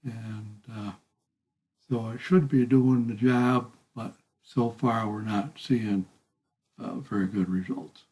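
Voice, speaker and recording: A man giving a halting, deliberate, matter-of-fact informal field narration outdoors, recorded on a handheld device. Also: fairly low pitch, steady tone, a little mellow, husky, no echo. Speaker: lake resident surveying an algae bloom. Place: outdoors, out on a small lake. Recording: faint outdoor background noise.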